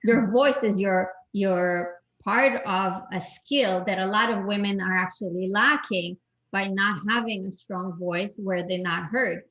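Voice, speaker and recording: A woman's voice: medium pitch, measured pace, a bit bright. Strong-voiced European woman on a lo-fi call. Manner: strong voice, many restarts and repeated words. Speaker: guest expert. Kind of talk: advice in a panel talk.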